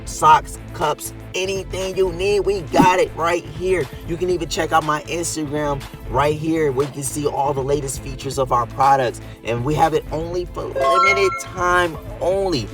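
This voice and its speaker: A man with a slightly high voice delivers an upbeat promo to viewers. He is salesy and emphatic, with music clearly playing behind him. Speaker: channel promoter.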